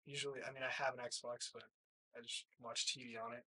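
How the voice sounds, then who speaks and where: Bright-voiced young man in casual interview. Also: medium pitch, flat delivery, fast talker, slightly rough, poor audio. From hill country, United States. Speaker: college baseball player, interview guest. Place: small plain room, indoors, seated.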